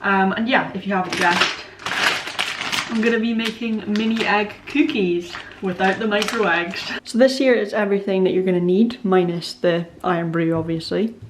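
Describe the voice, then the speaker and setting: A woman's voice: medium pitch, steady tone, normal speed, distant mic, background noise. Chatty young Scottish woman in an echoey kitchen. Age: 20s. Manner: chatty, rambling, self-deprecating, many "um" and "like" fillers. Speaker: vlogger. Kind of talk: casual baking vlog chat. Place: home kitchen. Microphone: camera set up away from her.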